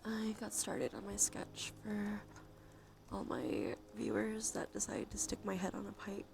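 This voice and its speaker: A woman with a stuffy nose chatting softly and sleepily on an ASMR livestream, casual and playful, in whispers and quiet talk. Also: medium pitch, animated, unhurried, noisy background. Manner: soft, breathy whispering mixed with quiet talk; playful, casual, swears freely. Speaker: live streamer.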